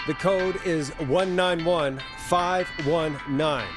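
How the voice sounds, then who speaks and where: A man's voice: fairly high pitch, slow, music in the background. A man playing a wry, composed late-night radio DJ in a video game. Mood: urgent. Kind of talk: video game voice acting, 1980s radio host.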